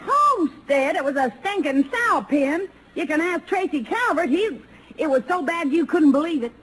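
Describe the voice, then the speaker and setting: A woman's voice: sits high, animated, moderate pace, fairly bright tone. An American woman playing a hot-tempered young frontier gunslinger in a radio western, with folksy, ungrammatical, combative talk. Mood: bitter, resentful. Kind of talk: old-time radio western acting. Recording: noisy old radio drama recording.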